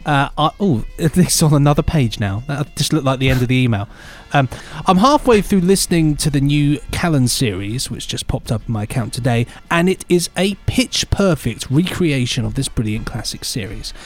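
A man's voice: medium pitch, lively intonation, moderate pace, hardly any pauses. Giggly rambling man co-hosting. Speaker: podcast co-host. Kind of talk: casual chat, reading listener emails.

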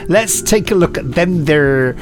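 A man's voice: on the high side, lively intonation, unhurried, music in the background. A middle-aged man co-hosting a podcast with casual, bantering talk. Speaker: podcast co-host.

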